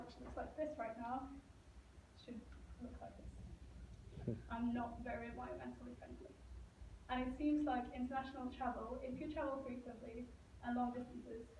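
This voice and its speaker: A woman's voice: fairly high pitch, mellow. A young woman from Norway giving a student competition presentation to a live audience, earnest and stopping now and then to ask the audience questions. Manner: earnest, asks the audience questions, some restarts. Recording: echoey room, voice from a distance, dull lo-fi sound, faint hiss.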